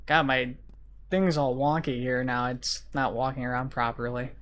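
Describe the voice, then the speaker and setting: Casual game streamer, medium pitch, rambling think-aloud commentary, faint vehicle and siren noise in the background. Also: fairly even intonation, moderate pace, a bit bright. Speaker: video game streamer and host. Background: game sirens and vehicle sounds.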